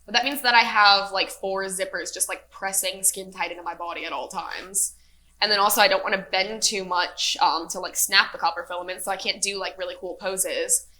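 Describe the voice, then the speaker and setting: A woman's voice: mid-range voice, steady tone, a little fast, bright, forceful tone. A young woman in casual podcast chat, telling stories with frequent laughs and plenty of swearing. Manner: laughing, profane storyteller.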